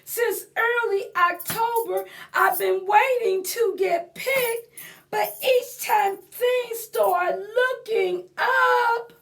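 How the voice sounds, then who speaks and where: Woman acting as a sad little pumpkin, very high pitch, loud, slow drawn-out words, plaintive, theatrical storybook rhyme. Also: creaky, distant mic, exaggerated voice. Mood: plaintive, forlorn. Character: forlorn, dramatic ugly little pumpkin telling its life story. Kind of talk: storybook character read-aloud.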